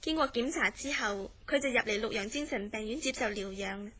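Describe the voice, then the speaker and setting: Worried young lady in a horror radio play, high bright voice, earnest n theatrical. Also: fairly quick. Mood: concerned, polite. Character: concerned young woman visiting a troubled patient. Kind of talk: Cantonese horror radio drama acting.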